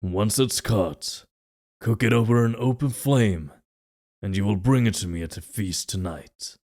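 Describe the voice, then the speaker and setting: Fantasy audio drama king, played by a man: very low register, regal, solemn, formal and measured orders. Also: a little mellow. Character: commanding, solemn fantasy king of a mountain people.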